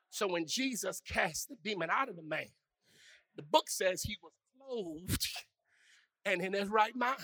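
A pastor preaching a sermon with call-and-response, swinging from hushed musing to shouted, sung climaxes. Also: male voice, high voice, no echo. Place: church.

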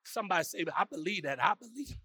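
A pastor preaching a sermon with call-and-response, swinging from hushed musing to shouted, sung climaxes. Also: male voice, sits high, expressive, fast talker, breathy edge, dry recording. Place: church.

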